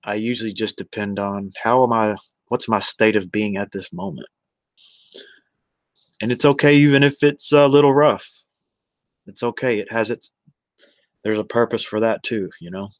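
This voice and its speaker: A man's voice: on the low side, normal speed, long pauses, no echo. An American man in his forties chatting casually on a livestream, rambling and addressing viewers one by one. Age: 40s. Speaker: live streamer.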